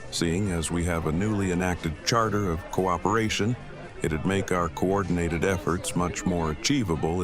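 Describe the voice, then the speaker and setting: An actor playing an earnest, verbose, nerdy husband in an audio drama, speaking in formal technical phrases. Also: male voice, deep voice, lightly acted. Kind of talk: fan audio drama acting.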